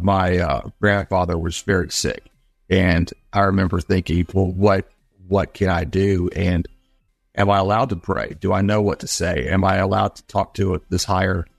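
A man speaking casually as an interview guest, earnest and reflective, feeling his way haltingly through his thoughts. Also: sits low, vocal fry, no room sound. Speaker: interview guest, children's book author.

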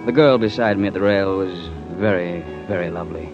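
An actor playing a cool, wry investigator in an old-time radio drama, dry and unhurried. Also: male voice, deep voice, lightly acted. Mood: cool narration. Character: cool, wry male investigator narrating his own case. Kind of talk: 1940s radio acting, scripted first-person narration. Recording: muffled old radio recording with music.

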